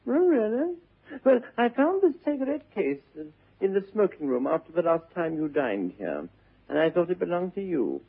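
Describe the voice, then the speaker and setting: A witty young English gentleman of leisure, teasing and mock-serious, with upper-class vowels. The voice is slightly high and husky, rising and falling theatrically. It comes through a muffled vintage radio recording. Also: wildly swooping pitch, normal speed, full character voice. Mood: mock puzzled. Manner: arch, mock-serious, precisely argumentative.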